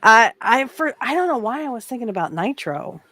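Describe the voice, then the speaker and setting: A woman's voice: on the high side, big pitch swings, a little fast, bright, forceful tone. A woman co-hosting a casual wrestling podcast, chatty and giggly, talking in fits and starts with plenty of fillers. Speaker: podcast co-host.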